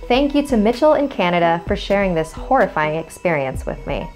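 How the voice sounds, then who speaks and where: A woman's voice: mid-range voice, big pitch swings, a little fast, darkish tone, faint music behind. A woman hosting a scary story series, reading scripted horror narration.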